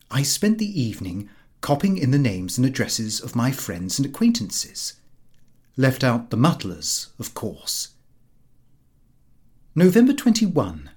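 A man narrating a comic diary in the character of a prim, put-upon, pompous middle-class gentleman. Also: mid-range voice. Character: fussy, easily affronted middle-class Victorian gentleman diarist. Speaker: audiobook reader.

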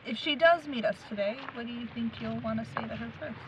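A woman's voice: fairly high pitch, fast, natural voice. An actress playing a frank, curious young woman in an audio drama, with a direct, conversational delivery. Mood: curious, gentle. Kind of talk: naturalistic audio drama acting. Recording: noisy outdoor ambience.

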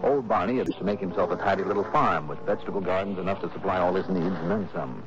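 An actor playing a cool, self-assured insurance investigator in a 1960s radio drama, with a dry, clipped, unruffled delivery. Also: male voice, low voice, fast. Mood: measured, descriptive. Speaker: lead detective and narrator. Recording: noisy, muffled old radio recording.

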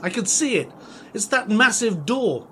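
Guy doing a cheeky clueless sidekick, bantering, really up and down pitch, bit of noise behind. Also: sing-song, unhurried. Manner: bantering, blithely obtuse. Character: flippant, dim, cheeky male adventurer sidekick. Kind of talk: comedy audio drama. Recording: background ambience, noisy.

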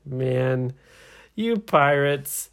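A man's voice: mid-range voice, animated, very slow. A man voicing earnest, alarmed young adventurers in a children's story, exclaiming in a strained voice. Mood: exasperated, unsure.

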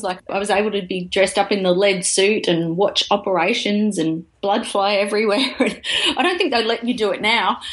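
A middle-aged Australian woman, a podcast guest, telling her story in a chatty way with frequent laughs and restarts. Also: mid-range voice. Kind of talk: casual interview storytelling.

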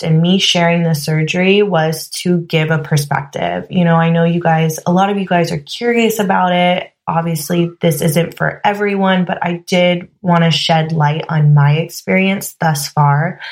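Slightly hoarse, chatty woman podcast host. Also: on the low side. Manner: candid and chatty, with many fillers like "you know". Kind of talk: casual solo podcast monologue.

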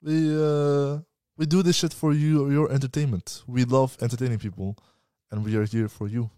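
A young man co-hosting a live podcast stream in casual, relaxed chat, promoting the show's channels. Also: medium pitch, moderate pace, smooth, no room sound, clean studio sound. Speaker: podcast co-host.

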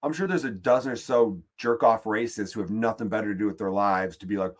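A man with a Chicago accent rants through a comic podcast monologue, swearing freely and circling back on his own sentences. His voice sits a bit low, and he sounds fed up, loose and chatty. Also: quick pace. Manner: profane, exasperated, rambling. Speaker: podcast host. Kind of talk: comedic monologue rant.

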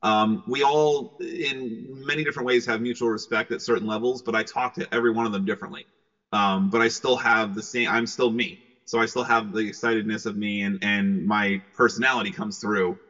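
A man with a bright, forward voice on the lower side, talking casually as an interviewed voice actor. He comes across cheerful and excitable. The sound has a long, hall-like reverb. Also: brisk, lo-fi sound.

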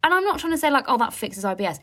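A woman's voice: high voice, sing-song, rapid-fire, a bit bright, breathy edge. A young woman co-hosting a podcast, chatting candidly and swearing freely, a little snotty from a cold. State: has a cold, a bit snotty. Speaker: podcast co-host. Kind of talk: casual chat.

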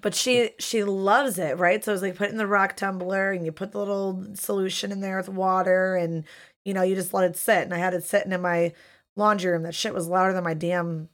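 A woman in her thirties hosting a live-streamed chat show, hoarse from losing her voice, talking casually and swearing freely. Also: medium pitch, brisk. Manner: profane, chatty, animated. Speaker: podcast host.

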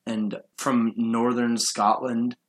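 A man co-hosts a casual podcast chat in an echoey room. His voice sits at an average pitch, and he talks informally with a wry, joking edge. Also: level tone, takes it slowly, noisy background. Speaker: podcast host. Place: room in an apartment. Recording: roomy, echoey sound.

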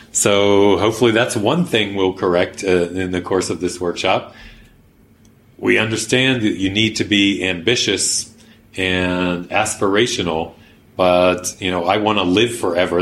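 A man's voice: deep voice, fairly even intonation, normal speed, a bit bright, slight background noise. A man explaining his thinking in a podcast conversation, earnest and pragmatic, who also leads a workshop. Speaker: workshop organizer.

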